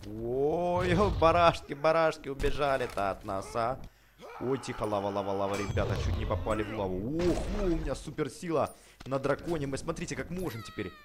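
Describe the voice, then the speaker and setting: A man hosting a casual, chatty let's play for children, excitable, full of exclamations, repeated words and affectionate diminutives. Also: mid-range voice, normal speed, noisy background. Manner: uses many affectionate diminutives. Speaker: gaming YouTuber host.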